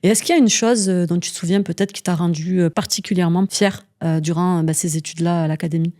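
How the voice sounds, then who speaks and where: A woman hosting a relaxed interview. Her voice is low and mellow. She phrases her questions in a friendly way, hesitating on fillers between words. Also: fast. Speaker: interviewer host.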